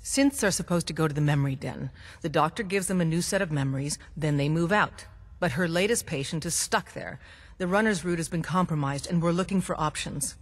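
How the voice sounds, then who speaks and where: Brisk resistance leader. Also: female voice, deep voice, long reverb tail. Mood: briefing. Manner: businesslike, giving orders.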